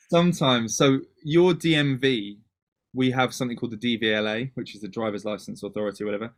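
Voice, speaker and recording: A man from Wales, a DJ and producer, chatting as a guest in an interview, talking in quick bursts with many restarts. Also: medium pitch. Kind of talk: casual interview chat.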